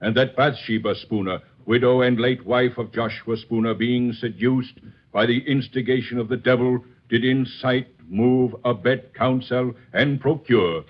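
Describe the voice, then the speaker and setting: An actor playing a stern colonial court official, declaiming in solemn, formal tones in an old radio drama. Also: male voice, mid-range voice, fairly even intonation, unhurried, lightly acted. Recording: dull, lo-fi old radio recording.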